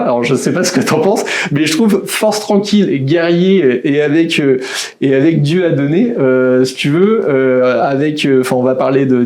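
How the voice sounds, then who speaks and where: A man in his thirties co-hosting a podcast, talking in a halting way with many restarts. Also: mid-range voice, darkish tone. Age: 30s. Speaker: podcast co-host. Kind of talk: casual interview chat.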